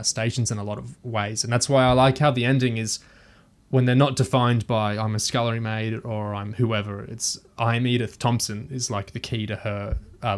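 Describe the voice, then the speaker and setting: A man chatting casually and thoughtfully as a panelist on a review podcast, feeling his way through his points. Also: on the low side.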